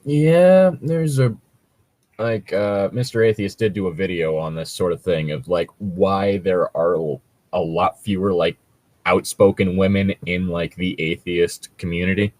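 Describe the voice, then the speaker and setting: Low-voiced man, casual and rambling. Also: measured pace, a little mellow. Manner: rambling, hedging, casually profane. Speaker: co-host reacting to read-out stories. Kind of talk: casual chat and commentary on a read story.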